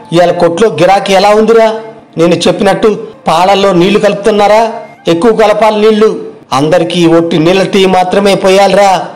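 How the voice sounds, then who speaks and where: A man's voice: high-pitched, a little mellow, lightly acted. A man playing an arrogant, greedy tea-stall owner in a moral story cartoon, boastful and bossy. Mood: scheming, bossy.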